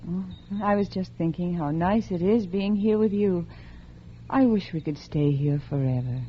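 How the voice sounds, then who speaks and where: A woman's voice: fairly low pitch, normal speed, a little hiss or hum, natural voice. An actress playing a scheming, unhappily married woman in love, with earnest, dramatic mid-century radio acting. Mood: tender. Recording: dull old radio recording with music.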